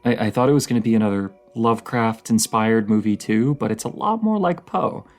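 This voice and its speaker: A man playing a dorky, excitable partner who rambles like a horror-movie nerd in a casual, intimate roleplay, stammering and halting with enthusiasm. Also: fairly low pitch. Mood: excited, teasing.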